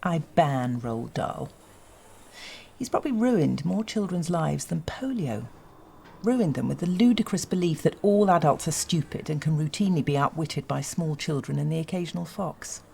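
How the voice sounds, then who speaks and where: An actress playing a condescending school headmistress who speaks in a dry, patronising, precise way. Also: female voice, low voice, expressive, moderate pace, creaky, darkish tone, a little hiss or hum. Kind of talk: sitcom acting.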